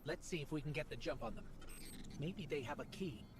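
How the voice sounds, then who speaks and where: An actor playing a friendly, earnest small robot companion in a video game, explaining things eagerly. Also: male voice, on the high side, expressive, brisk, lightly acted. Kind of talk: scripted video game dialogue. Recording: loud ambient noise behind.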